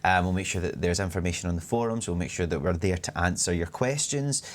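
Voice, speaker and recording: A man's voice: sits low, quick pace, noisy background. A young Scottish man in his twenties, a community manager chatting on a live stream and reading out viewer comments. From Scotland. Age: 20s. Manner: chatty, rambling, reads chat aloud.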